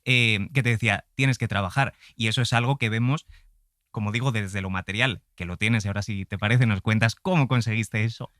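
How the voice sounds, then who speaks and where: A man with an accent from Spain, hosting a conversational interview with halting, roundabout phrasing. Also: lowish voice, fairly bright tone, dry recording, studio quality. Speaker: interviewer, podcast host.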